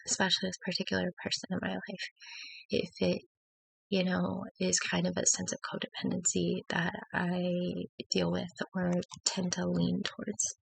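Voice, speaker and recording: Gravelly, freshly woken woman, hesitant. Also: fairly low pitch, monotone, normal speed, dry recording, poor audio. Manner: hesitant and reflective, many fillers and restarts. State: just woken up. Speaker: faith vlogger. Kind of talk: personal confessional talk to camera. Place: at home with her dog.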